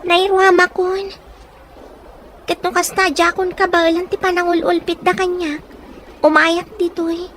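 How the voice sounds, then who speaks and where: A woman's voice: extremely high pitch, level tone, measured pace, pauses often, a bit bright. A woman playing a poor, resilient young orphan in an audio drama, plain-spoken and caring, with a northern Philippine accent. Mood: sorrowful. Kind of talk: acted audio drama dialogue. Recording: faint background noise.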